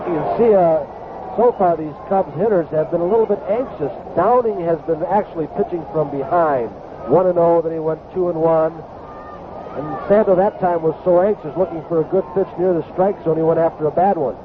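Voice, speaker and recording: A man's voice: a little high, a little fast. American guy doing baseball color commentary, explains stuff, tinny old broadcast w lots of background noise. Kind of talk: analytical sports commentary. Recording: thin, noisy vintage broadcast.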